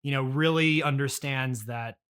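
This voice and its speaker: A man in an improvised comedy chat, playing himself as interviewer, halting, hesitant and awkwardly polite, often repeating himself. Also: medium pitch, fairly bright tone, clear, resonant tone.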